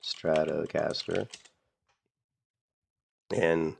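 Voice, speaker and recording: A man in a casual, rambling chat about guitars, losing his voice a little and clearing his throat often. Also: very deep voice, fairly even intonation, drawn-out words, a little hiss or hum. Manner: rambling, repeats himself, frequent throat clearing. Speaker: streamer.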